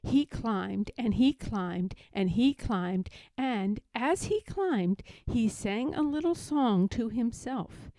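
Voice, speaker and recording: Slow, expressive woman reading a storybook. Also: fairly high pitch, no echo. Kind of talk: expressive children's story narration.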